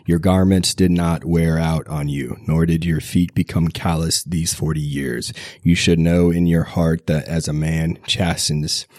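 Very deep-voiced man with a cold. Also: unhurried, hardly any pauses, dry recording, studio quality. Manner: steady reading with self-corrections. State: recovering from a cold. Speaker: podcast host reading scripture. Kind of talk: scripture reading.

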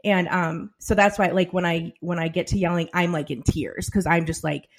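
Reflective woman with a lowish voice. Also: steady tone, moderate pace. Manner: reflective, thinks aloud, often agreeing. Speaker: podcast co-host. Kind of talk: casual personal conversation.

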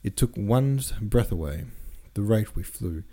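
A man voicing a brash, bossy young gentleman rake from a novel, commanding and informal. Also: fairly low pitch, lively intonation, measured pace. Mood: excited boasting. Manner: commanding, peppers orders with "eh?".

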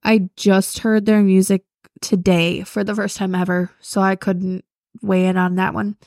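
A young woman co-hosts a podcast in a loose, chatty way, scattering "like" through her sentences. Her voice sits at a middle pitch. It is recorded dry and close, with no room sound. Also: level tone, unhurried, gravelly, darkish tone, no echo. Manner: chatty, lots of "like". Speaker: podcast co-host. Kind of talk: casual chat.

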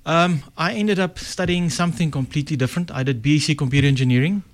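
A man's voice: fairly high pitch, fast talker. A middle-aged man with an Afrikaans South African accent, a guest telling his story in a casual, reflective way. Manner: chatty, reflective, with self-corrections. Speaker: guest, success strategist. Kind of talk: casual interview talk.

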